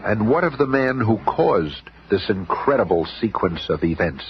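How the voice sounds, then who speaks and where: An actor as a dramatic narrator, giving emphatic scripted narration in a 1970s radio serial. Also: male voice, fairly low pitch, big pitch swings, moderate pace. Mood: suspenseful. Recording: muffled, noisy old radio recording.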